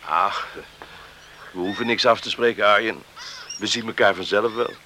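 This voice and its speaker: A man's voice: fairly low pitch, moderate pace, pushed and bright, a little hiss or hum. An actor playing an easygoing, evasive young man in a Dutch radio drama. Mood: casual, evasive.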